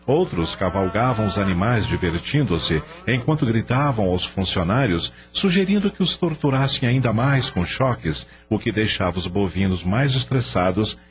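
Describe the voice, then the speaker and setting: Guy narrating radio play, lowish voice, really animated, music behind, bit muffled. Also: normal speed, few pauses. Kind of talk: dramatic scripted narration. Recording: dull sound under clear music bed.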